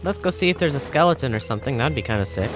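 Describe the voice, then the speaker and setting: A man's voice: mid-range voice, lively intonation, very fast, soft background music. A man hosting a let's-play with casual, slangy, offhand commentary. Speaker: gaming host.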